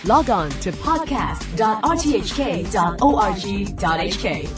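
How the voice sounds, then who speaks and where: A woman's voice: mid-range voice, lively intonation, unhurried. A woman announcer reading a scripted station podcast jingle. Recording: voice under prominent music. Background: jingle music.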